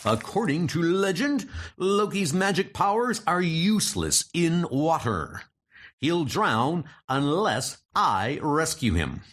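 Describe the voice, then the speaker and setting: A man's voice: on the high side, sing-song, slow pace, fairly bright tone, exaggerated voice. A man playing a noble, mighty thunder god hero in a comic book dub, speaking in a formal, heroic, declamatory way. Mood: resolute.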